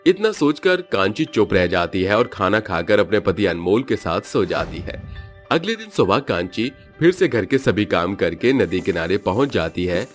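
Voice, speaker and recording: Brief: male narrator, lowish voice, steady storytelling, faint music under. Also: moderate pace.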